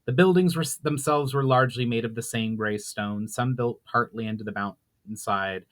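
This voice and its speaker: Male author-narrator, medium pitch, measured dramatic audiobook style, slightly imperfect live read. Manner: measured reading with occasional stumbles and self-corrections. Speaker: author reading own book aloud.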